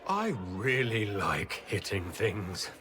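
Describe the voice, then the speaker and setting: An actor playing a composed, courteous male leader in a fantasy video game, measured and polite with dry humour. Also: on the low side, very animated, over music. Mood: awkward, stalling. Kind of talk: video game cutscene acting.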